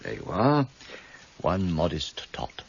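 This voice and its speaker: Very deep, gravelly older don. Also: male voice, wildly swooping pitch, slow, heavily acted. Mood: smooth, flattering. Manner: pompous, literary, hesitant when uneasy. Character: flattering older Oxford don, courtly and insinuating. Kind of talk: radio drama acting. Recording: dull, slightly muffled radio recording.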